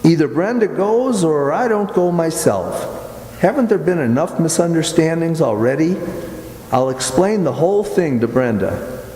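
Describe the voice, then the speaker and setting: Echoey hall, guy playing insistent husband, highish mellow voice, polite but stubborn, radio drama. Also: lively intonation, measured pace. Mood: firm, indignant. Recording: echoey hall with faint noise.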